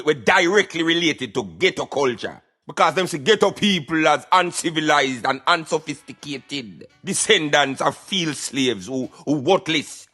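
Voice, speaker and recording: Man voicing a high, bright-voiced opinionated Jamaican Rasta. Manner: emphatic, opinionated, repeats words. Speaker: radio show commentator. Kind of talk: satirical social commentary in patois.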